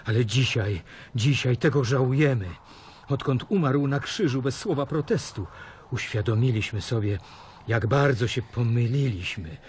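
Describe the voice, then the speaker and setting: An actor playing a frightened, humble disciple who pleads and makes excuses in a radio drama. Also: male voice, mid-range voice, moderate pace, darkish tone, a little hiss or hum, natural voice. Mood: pleading, fearful.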